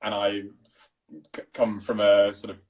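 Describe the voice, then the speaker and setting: A man from East London with a posh English accent, chatting casually as a guest cinematographer, mumbling, talking fast and swearing freely. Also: on the low side, completely flat delivery, darkish tone. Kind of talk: casual chat.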